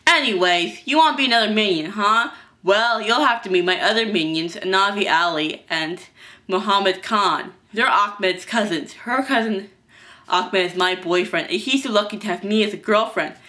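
Woman as a vain, bossy schoolgirl villain, bright voice. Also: medium pitch, moderate pace, lightly acted. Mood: snooty.

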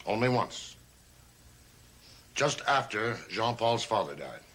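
An older man playing a grave, reassuring grandfather in a gothic soap opera, speaking in a measured, theatrical way. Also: lowish voice, sing-song, a bit bright, slightly rough, exaggerated voice. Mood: grave confession. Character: grave, reassuring old grandfather of a cursed family. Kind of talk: 1960s gothic TV soap acting. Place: TV studio set of an old house.

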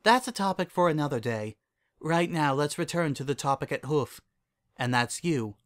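Man voicing a gentle regal pony princess, pitched up. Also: animated, normal speed, natural voice. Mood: composed, amused. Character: calm, kindly royal pony princess and mentor, female. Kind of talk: storybook character dialogue.

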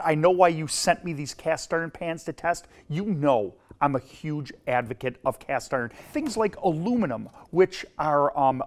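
A middle-aged chef talks up cookware with friendly enthusiasm while he shows off pans. He is recorded in a commercial kitchen. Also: a little high. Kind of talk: casual product review to camera.

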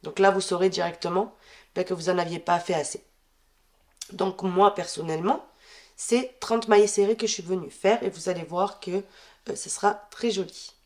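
A woman's voice: on the low side, moderate pace. Woman with a head cold, counting stitches. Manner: calm, counting stitches aloud while working. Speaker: crochet tutorial host. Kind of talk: step-by-step crochet instruction. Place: indoors at a wooden table.

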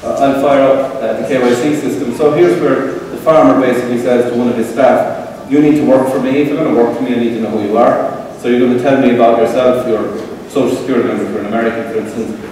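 A middle-aged man with an Irish accent giving a relaxed conference talk with a live demo, with frequent fillers, to a seated audience in an event hall. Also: mid-range voice, fast talker, hardly any pauses, a little hiss or hum. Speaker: tech presenter. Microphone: room pickup from far camera. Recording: roomy hall echo from far off.

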